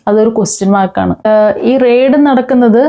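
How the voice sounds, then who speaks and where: A woman with a Kerala accent hosts informal news commentary, talking to viewers in a conversational, hesitant way with frequent pauses. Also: fairly high pitch, warm tone. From Kerala, India. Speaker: online news commentary host.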